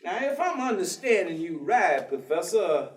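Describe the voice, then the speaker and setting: A folksy high-pitched reverend. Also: male voice, sing-song, moderate pace. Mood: dismayed, incredulous. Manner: folksy, colloquial. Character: earnest, folksy reverend, patient but dismayed man. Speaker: stage actor in a play. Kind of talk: theatrical staged reading. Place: theatre stage. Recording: echoey theatre stage, distant microphone.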